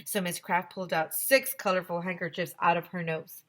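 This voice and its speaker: A woman reading a children's book aloud as a teacher to her class, with deliberate, expressive storytelling. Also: fairly low pitch.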